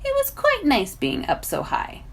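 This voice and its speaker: Storybook creature: small elderly male horned toad, done by a woman, particular, well-mannered, animated pitch. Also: a little slow, creaky, exaggerated voice. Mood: polite.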